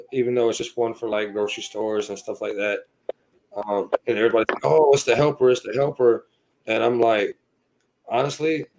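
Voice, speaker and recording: A man in his thirties telling his personal story as a podcast guest, earnest and halting, filling his pauses with "you know". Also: medium pitch, darkish tone, slight background noise, rough recording. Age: 30s.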